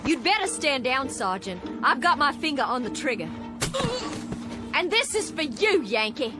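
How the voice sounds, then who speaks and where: An actress playing a bitter, coldly resolute war widow in a radio drama, with a brooding, deliberate, menacing delivery. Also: female voice, sits high, very animated. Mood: cold menace. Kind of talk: dramatic radio play acting. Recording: studio radio drama with music underneath.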